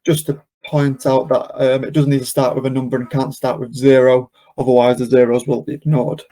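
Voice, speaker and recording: A man hosting a webinar, casually talking through a software demonstration step by step without a script. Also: medium pitch, little pitch movement, fairly quick. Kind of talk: unscripted software walkthrough.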